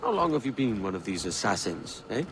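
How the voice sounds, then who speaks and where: An actor playing a sceptical, self-interested pirate captain in a video game, wry and probing. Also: male voice, lowish voice, very animated, brisk, noisy background. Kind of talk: video game cutscene acting.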